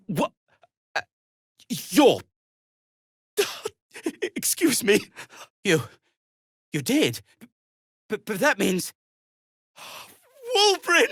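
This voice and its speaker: An actor playing an anxious, devoted gnome inventor in a video game, speaking in a hesitant, politely formal way. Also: male voice, high voice, a bit bright, slightly rough, no room sound, studio quality, full character voice. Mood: flustered, urgent. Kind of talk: video game character acting.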